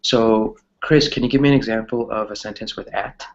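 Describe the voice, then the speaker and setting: A man's voice: fairly low pitch, fairly even intonation, a little fast, highs cut off, slight background noise. A man teaching an English grammar lesson conversationally, encouraging his students and often checking in with "right?".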